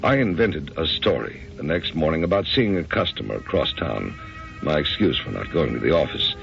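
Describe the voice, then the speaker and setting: Very deep, gravelly, bewildered American husband. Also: male voice, expressive, a bit bright, faint music behind, full character voice. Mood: troubled narration. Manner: hesitant, halting. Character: bewildered, conventional middle-aged husband, uneasy and earnest. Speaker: actor and first-person narrator. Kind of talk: old-time radio drama acting. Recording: dull, muffled radio drama recording.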